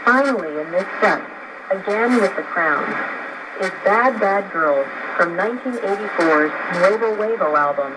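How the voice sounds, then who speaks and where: Female radio presenter, mid-range voice, scripted narration, clearly noisy shortwave recording. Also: mid-range voice, animated, measured pace. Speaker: radio program host.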